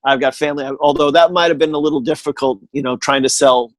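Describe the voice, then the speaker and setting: A middle-aged man telling stories in a casual chat, animated and full of jokey asides. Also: on the high side, fast talker, dry recording. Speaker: guest. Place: living in Texas.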